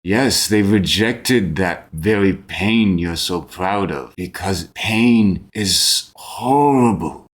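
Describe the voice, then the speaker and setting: A man voicing a calm, grandiose lich in a fantasy tabletop game, speaking with solemn, measured persuasion. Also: deep voice. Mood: persuasive, assured. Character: calm, grandiose male lich promising escape from death.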